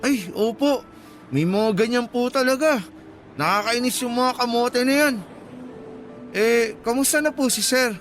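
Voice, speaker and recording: A very high-pitched young motorcycle taxi driver in a radio drama. He is courteous, chatty and eager to please, with music behind him. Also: measured pace, pauses often, fairly bright tone. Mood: sympathetic.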